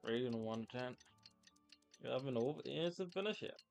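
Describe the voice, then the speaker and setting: A man's voice: mid-range voice, expressive, brisk, creaky, faint music behind. A man doing casual live gaming commentary as a streamer, slangy and offhand. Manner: slangy, offhand, reading dialogue aloud. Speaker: game streamer.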